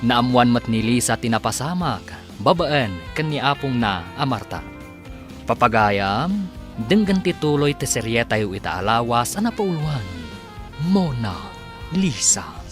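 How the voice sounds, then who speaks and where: A man's voice: medium pitch, very animated, measured pace. A man with an Ilocano accent reading scripted dramatic narration as a radio drama announcer. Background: music bed.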